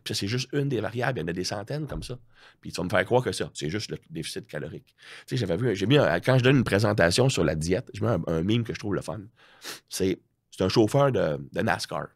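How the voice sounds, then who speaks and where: A man's voice: lowish voice, quick pace, creaky, slightly rough. A man with a Quebec French accent chatting casually as a podcast guest, telling stories in a slangy, self-mocking way. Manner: animated storytelling with slangy asides and self-mockery. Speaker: podcast guest, strength coach.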